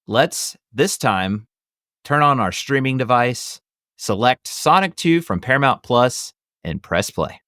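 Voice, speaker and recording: A man's voice: mid-range voice, animated, slow pace, fairly bright tone. A man hosting a casual film discussion, talking in an enthusiastic, conversational fan's way. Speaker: podcast host.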